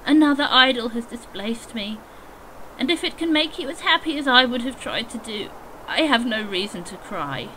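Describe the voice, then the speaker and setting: A woman's voice: a little high, animated, moderate pace, bright, forceful tone, slight background noise, low-quality recording. A woman playing an earnest, sorrowful young fiancée in an audio drama, speaking formally. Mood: sad reproach.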